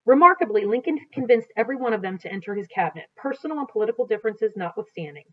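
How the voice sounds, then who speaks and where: A woman's voice: a little high, animated, racing. American woman reading aloud, dull recording. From United States. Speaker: teacher reading aloud to students. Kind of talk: read-aloud of a history book with explanatory asides. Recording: dull, lo-fi sound.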